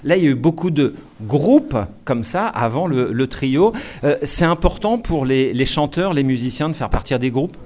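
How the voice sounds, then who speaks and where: A man's voice: fairly high pitch, animated, moderate pace, few pauses, a little mellow. A man interviewing a musician guest, chatty and full of stammered repeats and fillers. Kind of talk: interview questions.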